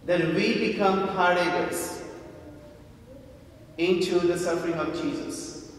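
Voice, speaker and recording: A man preaching a homily as a priest, in a deliberate, emphatic way with repetition and rhetorical questions. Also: steady tone. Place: large church interior. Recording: echoey church, distant mic, faint rumbling noise.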